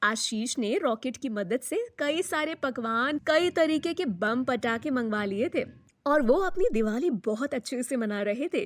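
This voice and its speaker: A woman's voice: high voice, lively intonation, a little slow. A woman reading a scripted children's story as the narrator.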